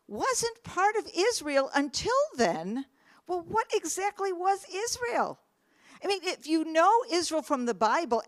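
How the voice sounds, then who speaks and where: A middle-aged woman tells a personal story in an earnest, emphatic way. Her voice sits fairly high and sounds close and dry. Her pitch climbs on her questions. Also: no echo. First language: English. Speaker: speaker. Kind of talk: personal testimony talk.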